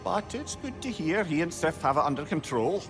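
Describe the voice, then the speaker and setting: A man's voice: fairly high pitch, very animated, normal speed. A man playing a witty, tender old sage in a video game, with a Scottish accent and courtly, old-fashioned, talkative phrasing. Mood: chatty, courtly. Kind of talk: video game cinematic acting. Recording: game music behind the voice.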